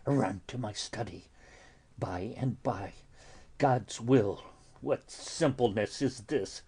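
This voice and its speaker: A man playing a stern, exasperated friar in a Shakespearean tragedy, speaking deliberately with a breathy, dramatic delivery. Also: fairly low pitch, slight background noise. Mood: urgent alarm. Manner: slow, deliberate, dramatic, dropping into hushed breaths. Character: stern, exasperated male friar counselling a youth. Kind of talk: classical stage tragedy in audio.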